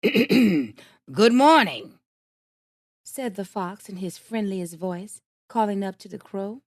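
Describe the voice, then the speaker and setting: Woman reading bedtime fairy tales, slow and soft, pitch going all over, dry close mic. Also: no echo. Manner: gentle, expressive, measured reading. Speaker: storyteller. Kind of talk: bedtime storybook read-aloud.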